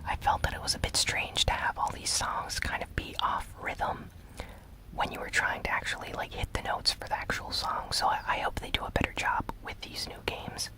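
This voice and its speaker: An ASMR gaming news host speaking in a breathy, unvoiced whisper, soft, unhurried and conversational. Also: low-quality recording.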